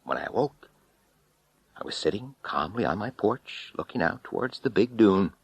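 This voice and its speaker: Low male narrator for horror fiction; theatrical, highly animated, intense swings from hush to full voice. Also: normal speed, gravelly, slightly rough, dry recording. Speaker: audiobook narrator. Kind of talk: dramatic first-person horror story narration.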